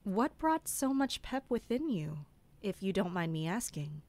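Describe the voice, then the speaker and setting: A woman playing an observant mercenary who serves a prince, speaking in a formal, measured, deferential way for an audio drama. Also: medium pitch, sing-song, darkish tone. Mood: polite, deferential. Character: Kina, observant female mercenary serving a prince. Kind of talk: audio drama dialogue and inner narration.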